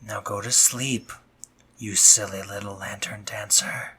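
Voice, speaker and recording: Man playing a male monster doctor, spider creature, doting yet commanding, bright edge to a breathy voice, intimate audio roleplay, unhurried. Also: lowish voice, fairly even intonation, full character voice. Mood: teasing affection. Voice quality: husky, breathy, sometimes whispering. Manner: slow, tender yet commanding. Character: dominant, doting spider-monster boy doctor, intense and teasing.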